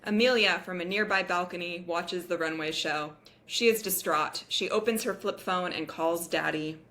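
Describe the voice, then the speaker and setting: Woman reading out screenplay directions, voice a little low, narrator at a table read. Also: normal speed, a bit bright.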